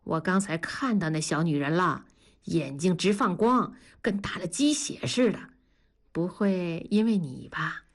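A woman voicing a blunt, rough-talking middle-aged man, teasing and scolding his friend. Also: lowish voice, unhurried.

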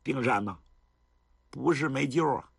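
A man doing a gruff, scolding old general. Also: medium pitch, a little slow, no echo, clean studio sound. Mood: coaxing. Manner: scolding, earthy and exasperated.

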